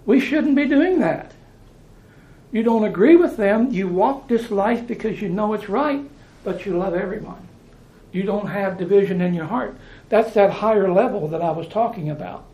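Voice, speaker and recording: A man's voice: sits high, normal speed, dark tone, echoey room, muffled recording. An American man preaching informally, talking in a conversational way, repeating phrases and restarting his sentences. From United States.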